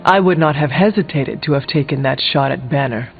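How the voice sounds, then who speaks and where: A man's voice: mid-range voice, a little fast, vocal fry, close to an everyday voice. A man playing a grave, stern warrior hunter in a video game, with formal, solemn speech. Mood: firm. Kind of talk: video game character dialogue. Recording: thin phone-like sound, music behind.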